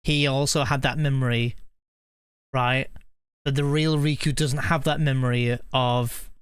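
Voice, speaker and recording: A woman streaming a game in a low, clear, smooth voice. She reacts casually, thinking out loud and repeating herself. The sound is close and dry. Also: fairly even intonation, measured pace, no echo. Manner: musing aloud, repeating herself. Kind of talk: casual live reaction commentary.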